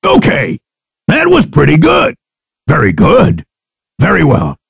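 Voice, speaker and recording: Fighting game owl warrior: proud, battle-hungry, extremely gravelly, pitched high for a man. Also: huge pitch swings, exaggerated voice. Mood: measured approval. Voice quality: gravelly, husky. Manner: haughty, deliberate, archaic challenges.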